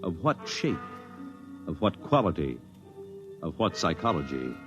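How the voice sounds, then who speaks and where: Male narrator, measured dramatic delivery, deep-ish, music bed. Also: very animated, muffled recording. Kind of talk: scripted radio narration.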